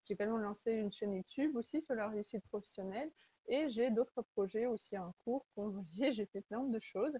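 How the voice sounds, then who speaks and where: A woman's voice: a little high, a little fast, dark tone, slightly rough, dry recording, rough recording. A woman, hosting a webinar in an earnest, conversational way and often searching for her words. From Kazakhstan. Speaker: webinar host, Salesforce consultant. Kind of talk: webinar presentation.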